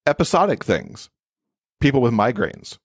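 A man's voice: medium pitch, big pitch swings, normal speed, husky, no room sound, clean studio sound. A man speaking as an expert podcast guest, explaining things in a conversational interview style.

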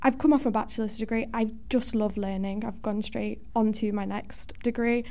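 A young woman speaks with a Scouse accent in a casual interview. Her voice is fairly high with a soft, mellow tone. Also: steady tone, normal speed. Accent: Liverpool Scouse. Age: young adult. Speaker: student guest.

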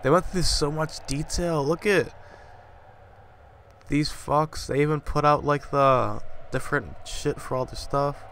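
A man's voice: a little high, a little slow, a bit bright, slight background noise. A man playing a horror game, swearing and muttering casual asides, still slightly congested from a cold. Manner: profane, jumpy, muttering asides. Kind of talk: casual commentary.

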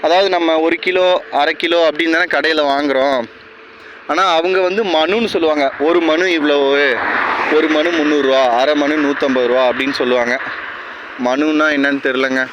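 A man's voice: fairly high pitch, steady tone, moderate pace. A man with a Tamil Nadu accent, talking casually to viewers and explaining things step by step in a vlog. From rural Tamil Nadu, India. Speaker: vlogger. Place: outdoors by a tree-lined roadside. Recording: clear outdoor roadside and vehicle noise.

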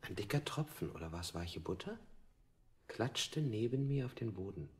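A man playing a dazed prisoner in a radio play, recounting his interrogation in measured, literary sentences. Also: on the low side, lively intonation, lightly acted. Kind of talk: avant-garde German radio play acting.

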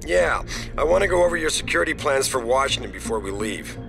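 An actor playing a brisk, impatient company boss in a video game, bossy and creaky. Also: male voice, mid-range voice, expressive, over music.

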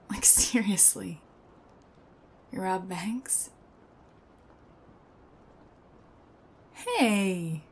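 A woman playing a shy, sweet young female thief in an ASMR roleplay, hesitant and soft-spoken, often near a whisper. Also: medium pitch, husky, exaggerated voice. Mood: teasing.

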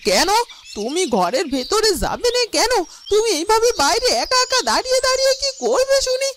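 A woman's voice: very high voice, very animated, fairly quick. A woman voicing a devoted, hopeful wife and mother in a fairytale cartoon, emotional and insistent. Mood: insistent concern. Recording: noisy track with birdsong behind.

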